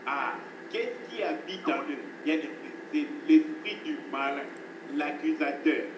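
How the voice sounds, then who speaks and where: A man preaching with insistent repetition, his fairly high voice heard from across an echoing room. The recording is noisy and distant, with the space ringing around him. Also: animated, measured pace. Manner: emphatic preaching, repeats phrases, addresses listeners as beloved. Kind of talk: sermon.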